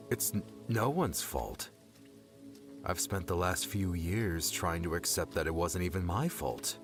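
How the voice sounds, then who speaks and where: Man as a weary, slow-speaking, deep-voiced adventurer. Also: full character voice. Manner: reassuring, subdued, measured. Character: weary, regretful, kind male adventurer. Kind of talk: audiobook character acting. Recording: music and rain behind voice.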